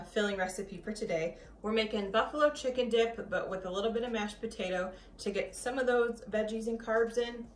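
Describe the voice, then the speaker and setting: A woman's voice: medium pitch, fairly bright tone. Chatty woman in an echoey kitchen. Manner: chatty, easygoing, tastes as she goes. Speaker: cooking vlogger. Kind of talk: casual cooking demo. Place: home kitchen. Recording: echoey kitchen, voice a bit far from mic, background noise.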